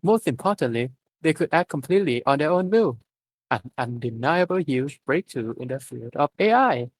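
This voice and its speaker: A man reading a fan story aloud in a plain, steady way with the odd slip. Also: fairly high pitch, normal speed, no echo. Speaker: fanfic reader.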